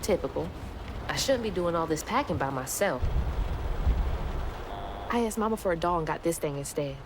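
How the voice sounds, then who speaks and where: A woman's voice: on the low side, lively intonation, moderate pace, background noise, close to an everyday voice. A woman playing a headstrong, sarcastic teenage daughter in a video game, with a Southern US accent and a casual, grumbling way of talking. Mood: wistful, wry. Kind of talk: naturalistic game cutscene acting.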